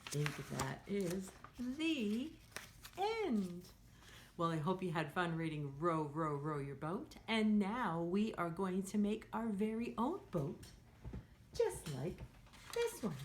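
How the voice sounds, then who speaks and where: A woman hosting children's storytime, reading a picture book aloud in an expressive, sing-song manner and talking directly to the young listeners. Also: medium pitch, slow, background noise.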